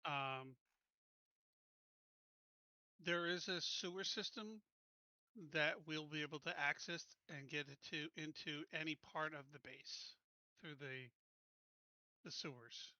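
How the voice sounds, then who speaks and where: A man playing a tabletop game, talking through plans casually in deliberate, stop-and-start phrases. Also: on the high side, bright, forceful tone, dry recording, lo-fi sound. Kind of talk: casual game planning talk.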